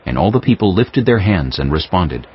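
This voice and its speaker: A man narrating scripture for an audiobook in a measured, formal manner. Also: low-pitched, very animated, slightly rough. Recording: dull lo-fi audio under prominent music.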